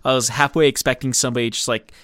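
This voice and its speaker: A man's voice: medium pitch, fairly even intonation, rapid-fire, a bit bright. A man co-hosting a podcast with casual, joking chat about a film. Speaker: podcast co-host.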